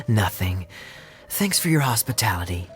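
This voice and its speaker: A man voicing a playful, smooth-talking young male knight who loves to tease. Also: fairly low pitch, sing-song, slow. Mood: sly. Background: faint background music.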